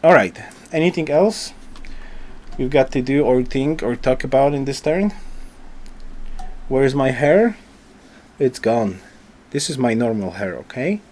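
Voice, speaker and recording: A man thinking aloud as he commentates a strategy game, hoarse because he is losing his voice, rambling and restarting his sentences. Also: medium pitch, lively intonation, unhurried, pauses often, darkish tone. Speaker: gameplay commentator. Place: home.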